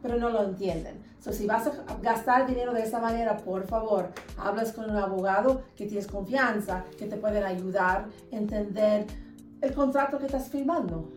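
A woman's voice: medium pitch, moderate pace. A woman in her fifties from the United States answering interview questions conversationally as a lawyer, dropping English words into her Spanish. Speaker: lawyer, podcast guest. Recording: echoey room, distant mic, music.

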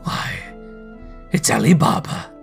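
A man acting excitable, emotional old miser. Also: medium pitch, moderate pace, gravelly, soft background music. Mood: ecstatic. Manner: exclaiming, breathless rush between laughing and crying. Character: elderly miser Scrooge, excited and tearful like a child. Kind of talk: dramatised storybook reading.

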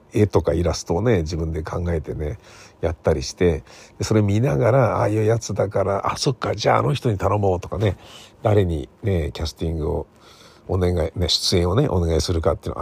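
A middle-aged man with a low, creaky voice and a husky edge chats alone as a podcast host. He rambles conversationally, softly checking in with the listener as he goes. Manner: rambling, conversational, seeking agreement with soft sentence endings. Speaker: podcast host and radio drama writer. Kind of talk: casual solo podcast monologue. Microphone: smartphone recording.

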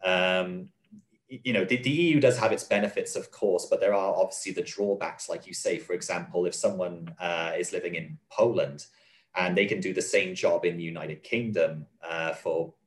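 A man explaining policy in an interview, earnest and measured, often restarting and repeating phrases. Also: low-pitched, fairly even intonation. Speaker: guest, advocacy spokesman.

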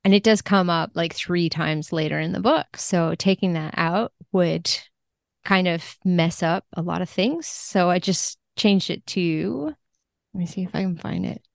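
A woman chatting casually as an interview guest, telling things in an animated way and acting out quoted thoughts. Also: fairly low pitch, unhurried, gravelly, no room sound, studio quality. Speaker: podcast guest, book translator.

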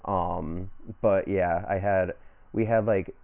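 A man's voice: low voice, fairly even intonation, takes it slowly, gravelly. A man in his twenties from Ohio, a comedian guest chatting easily on a podcast, full of fillers and repeated yeahs. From Ohio, USA. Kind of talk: casual podcast chat. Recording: tinny phone-like audio.

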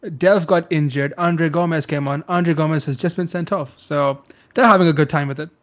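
A man's voice: a little high, moderate pace, dull audio. Easygoing man laughing on a call. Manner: easygoing, agreeable, laughs often. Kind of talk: casual chat.

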